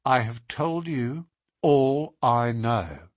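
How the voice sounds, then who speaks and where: A man's voice: mid-range voice, very slow, no room sound. A man voicing a proud, guarded young lady, reserved and defensive, in an audiobook story.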